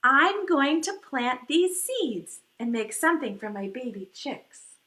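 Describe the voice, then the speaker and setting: High-pitched, industrious little mother hen played by a woman. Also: big pitch swings, pushed and bright, heavily acted. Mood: hopeful, calling. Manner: singsong, drawn-out calls. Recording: echoey room.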